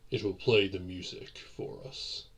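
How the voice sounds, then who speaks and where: A man acts out a centuries-old vampire gentleman in a romantic audio drama, tender and darkly secretive. His voice is deep and husky, with old-fashioned, deliberate wording. Music plays behind him. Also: fairly even intonation. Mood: wistful, gentle. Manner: formal, old-fashioned, deliberate and theatrical phrasing. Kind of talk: romantic audio roleplay addressed to the listener.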